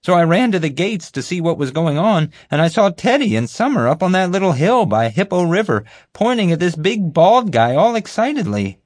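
A man voicing a bumbling, proud security guard in an audiobook, boastful, oblivious and excitable. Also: sits high, normal speed, hardly any pauses, no room sound, studio quality, pitched above the performer's own voice. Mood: excited pride. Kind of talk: audiobook character voice.

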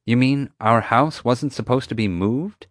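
A man's voice: mid-range voice, measured pace, dry recording, studio quality, voice pushed up, lightly acted. A man voicing an adult mother who speaks in a pointed, questioning way. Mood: puzzled. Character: sharp-minded mother, adult woman.

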